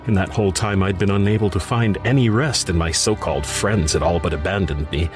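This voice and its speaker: Man performing a haunted widower, lowish voice, anxious, halting, theatrical, talking to himself, radio horror monologue, music underneath. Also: lightly acted. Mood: mournful, weary. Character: grief-stricken widower, anxious, bookish, haunted recluse. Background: prominent music.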